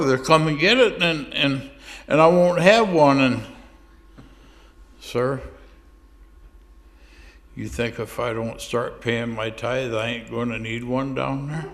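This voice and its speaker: A man playing a sheepish churchgoer who stammers out excuses to God on the phone. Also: medium pitch. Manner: stammering, hesitant, with many restarts. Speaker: church speaker. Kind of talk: comic phone-call monologue.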